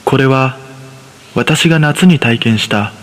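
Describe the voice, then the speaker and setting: A man's voice: mid-range voice, slow. A man narrating a scripted horror story in the first person. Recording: long reverb on the voice.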